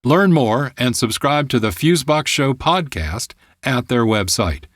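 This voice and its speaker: A male radio host reads scripted introductions in a dry, close sound. His pitch is mid-range and his delivery measured and articulate. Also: expressive, no echo. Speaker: producer and host.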